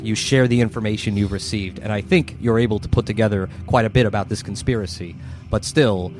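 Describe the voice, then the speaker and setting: A man acting as game master, narrating a horror tabletop game and slipping into casual improvised asides. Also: lowish voice. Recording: music bed behind voice.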